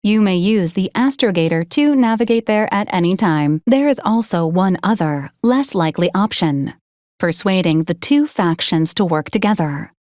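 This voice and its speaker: A woman voicing a formal, dutiful female robot assistant in a video game, speaking in a measured, precise, deadpan way. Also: mid-range voice, dry recording, clean studio sound, lightly acted. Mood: formal, neutral. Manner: clipped, formal, deadpan, measured machine-like delivery. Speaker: mission briefing assistant to a commander. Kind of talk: scripted video game dialogue.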